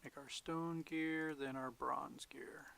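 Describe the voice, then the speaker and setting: A man's voice: a little high, fairly even intonation, takes it slowly, vocal fry, bright, forceful tone. A man talking to himself as he plays a game, musing in half-whispered asides while losing his voice. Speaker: gaming video host. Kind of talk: casual solo gameplay commentary.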